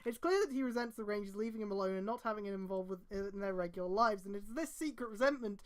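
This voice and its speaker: A young woman reading a web page aloud in casual chat, sarcastic and swearing, with sudden loud outbursts. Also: medium pitch, quick pace. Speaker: host.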